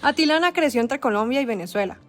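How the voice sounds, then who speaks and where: A woman narrating a documentary script in a measured, dramatic storytelling cadence. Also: a little high, animated, soft background music.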